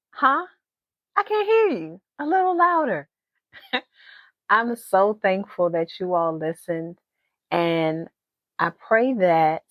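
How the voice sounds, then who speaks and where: A woman narrating in an animated, expressive children's storyteller style. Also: medium pitch, no echo, highs cut off. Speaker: children's author and podcast host.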